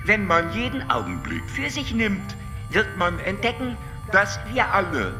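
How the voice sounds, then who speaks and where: A woman playing a calm, all-knowing alien in a radio drama, measured and lecturing, sometimes sticking on syllables. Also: medium pitch, lightly acted. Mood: detached, explanatory. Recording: long reverb, music behind.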